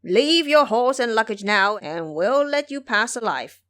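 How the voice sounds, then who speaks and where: A woman's voice: on the high side, lively intonation, a little slow, a bit bright. A woman voicing menacing, pompous male bandits who bluster and threaten in an audio drama.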